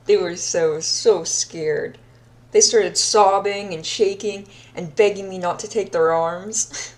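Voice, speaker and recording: A woman's voice: mid-range voice, lightly acted. A woman playing a deranged, obsessive yandere captor in an audio roleplay diary, sadistic yet childlike, speaking in a dreamy, intimate manner and lingering on words. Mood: giddy delight. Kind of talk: audio roleplay monologue, recorded diary confession.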